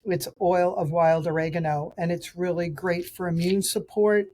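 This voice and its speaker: Raspy middle-aged woman, mellow low voice. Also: fairly even intonation, moderate pace, a little hiss or hum. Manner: chatty, rambling, with restarts and fillers. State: raspy voice from a cold. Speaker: podcast host and nutrition practitioner. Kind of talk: casual solo podcast talk reviewing products. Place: outdoors.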